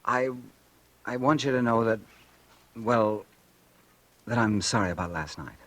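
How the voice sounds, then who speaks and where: A man's voice: unhurried, fairly bright tone. An actor playing a bitter, vengeful man who narrates his story in a 1940s radio drama. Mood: earnest. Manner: brooding first-person narration, low and confiding. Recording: old radio broadcast with music.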